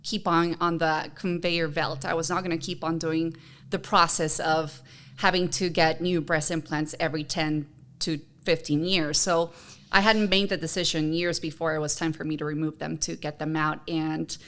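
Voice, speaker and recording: A woman's voice: low-pitched, level tone, moderate pace, a bit bright. A woman from Honduras co-hosting a podcast, chatting casually and personally in an earnest, encouraging manner. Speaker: podcast co-host.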